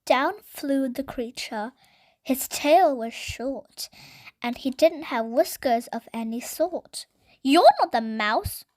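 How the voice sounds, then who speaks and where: A woman narrating a children's bedtime story in an expressive, deliberate, dramatic read-aloud style. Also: sits high, no echo, faint music behind.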